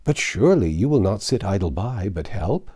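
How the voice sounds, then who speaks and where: Storybook abbot, elderly, anxious and insistent, archaic delivery, mellow tone, played by a male reader. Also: deep voice, sing-song, normal speed, breathy edge, full character voice. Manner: pleading, solemn archaic speech.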